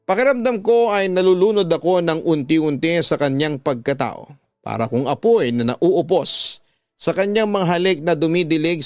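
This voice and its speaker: A man's voice: on the high side, darkish tone, no echo. Filipino man narrating dramatically over music. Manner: slow, emotive, deliberate phrasing. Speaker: radio host and storyteller. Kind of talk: dramatic scripted story narration. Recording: music bed behind a dry studio voice.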